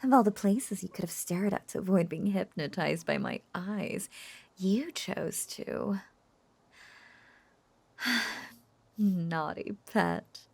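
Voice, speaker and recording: A woman playing a lovesick, obsessive witch in an intimate roleplay audio drama, cooing in a breathy, husky half-whisper with lingering pauses. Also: on the low side, a little mellow, no echo, exaggerated voice. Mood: amused, scolding. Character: lovesick obsessive young witch, possessive, teasing and doting.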